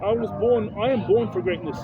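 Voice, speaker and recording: A man's voice: high voice, brisk, loud music behind the voice. A man in his late thirties with a New Zealand accent, giving an earnest, personal motivational monologue and often repeating or restarting phrases. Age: 30s. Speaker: self-help vlogger. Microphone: phone held close. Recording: tinny phone audio, loud street traffic. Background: cars and street noise.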